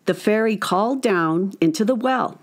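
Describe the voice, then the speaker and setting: A woman's voice: medium pitch, moderate pace. A woman narrating a scripted story for children.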